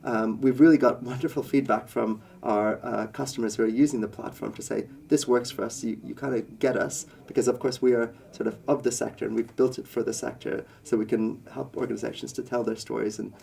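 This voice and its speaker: A man's voice: medium pitch, fairly even intonation, brisk, vocal fry, hardly any pauses, darkish tone. A man from India, a company co-founder, giving earnest interview answers with many fillers and list-like phrasing.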